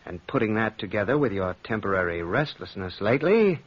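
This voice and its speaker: An actor playing a suspicious, coldly polite and sardonic aristocratic husband in an old-time radio drama, speaking with deliberate, pointed courtesy. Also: male voice, fairly low pitch, expressive. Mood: insinuating. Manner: silky, deliberate politeness with pointed pauses and repetitions. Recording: dull lo-fi vintage radio recording.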